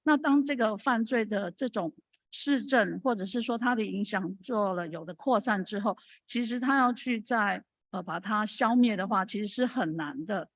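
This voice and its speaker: A woman's voice: mid-range voice, moderate pace, dry recording. Woman, hesitant audience speaker, dry close mic. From Taiwan. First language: Mandarin Chinese. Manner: hesitant, many fillers. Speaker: audience member speaking from the floor.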